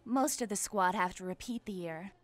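A woman's voice: mid-range voice, moderate pace, no echo, soft background music, natural voice. A woman playing a snooty popular cheerleader in a cartoon, pompous and condescending. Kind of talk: animated series dialogue.

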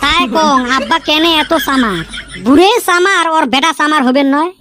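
A woman's voice: high-pitched, moderate pace, over loud music, natural voice. A woman voicing a lazy, broke young man in a cartoon who complains constantly. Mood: complaining. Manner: whiny, complaining. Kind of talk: cartoon voice acting.

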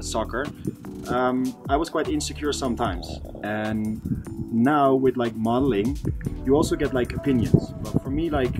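Laid-back man over loud music. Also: mid-range voice. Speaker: interviewee, model. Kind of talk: interview answer.

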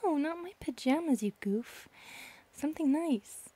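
A woman playing an affectionate, playful, shy centipede monster girlfriend in an ASMR roleplay, soft, breathy and intimate. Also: high voice, animated, unhurried. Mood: tender, relaxed. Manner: intimate, playful, lightly teasing.

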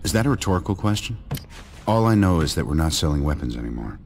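An actor playing a confident, sardonic company boss in a video game cutscene, with dry, quick retorts. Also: male voice, extremely low pitch, very animated, a little mellow, a little hiss or hum, heavily acted.

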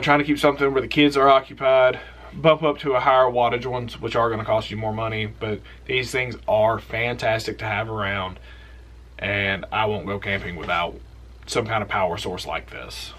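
A young man hosting an outdoors channel, recommending camping gear in a relaxed, rambling, enthusiastic way from a small room. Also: medium pitch, moderate pace. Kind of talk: casual product recommendation vlog.

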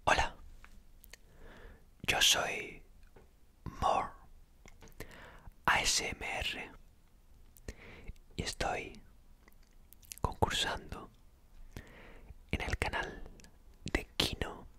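Husky whispering man, very slow ASMR. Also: sharp tone. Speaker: ASMR creator. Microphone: close desktop mic with foam cover.

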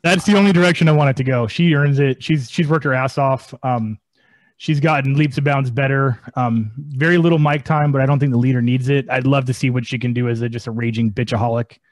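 A man co-hosting a wrestling podcast over a video call, talking excitably and swearing freely. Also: mid-range voice, brisk, no echo. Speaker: podcast co-host. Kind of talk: casual wrestling chat.

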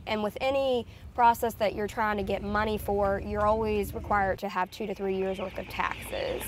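A woman's voice: medium pitch, fairly even intonation, moderate pace, noisy background. A woman in her thirties from a farming family, interviewed outdoors on a lapel mic, talking conversationally with frequent "um" fillers. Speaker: farm family member. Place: outdoors in tall grass by trees.

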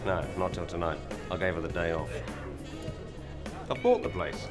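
Sly ex-con landlord. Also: male voice, deep voice, lively intonation, normal speed, over music. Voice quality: sly, needling, insinuating. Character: menacing ex-convict male pub landlord. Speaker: pub owner. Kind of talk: TV drama acting.

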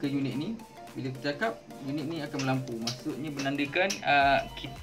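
A man with a Malaysian accent casually unboxing and demonstrating something, halting and repeating words as he explains. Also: medium pitch. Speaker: vlogger. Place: indoors at a table at home. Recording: music playing behind the voice.

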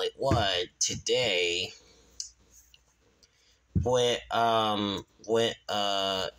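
A man giving a casual, halting, repetitive show-and-tell narration into a handheld phone in a room at home. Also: fairly low pitch, fairly bright tone, clear, resonant tone, low-quality recording. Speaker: video host.

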